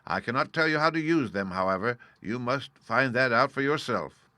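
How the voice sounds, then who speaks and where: Man voicing an apologetic old humbug wizard, storybook, confiding, bright tone, dry close sound. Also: medium pitch, animated, normal speed, dry recording. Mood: confessing. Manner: gentle, confiding, a little sheepish.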